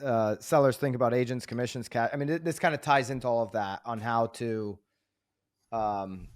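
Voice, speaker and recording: A man's voice: medium pitch, normal speed. A man co-hosting a business podcast, talking loosely and easygoing, with fillers and trailing thoughts. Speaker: podcast co-host. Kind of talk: casual business podcast discussion.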